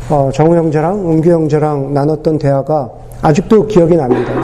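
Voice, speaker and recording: A man preaching a sermon as pastor, his voice hoarse from a cold, talking unhurriedly with many pauses and fillers. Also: a little high, warm tone.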